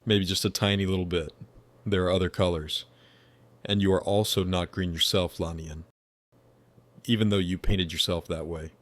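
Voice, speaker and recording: A man voicing a wise angelic male spirit from another dimension, speaking in a measured, formal and gently curious way. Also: deep voice, fairly even intonation, close to an everyday voice. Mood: calm, friendly. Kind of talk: scripted dialogue.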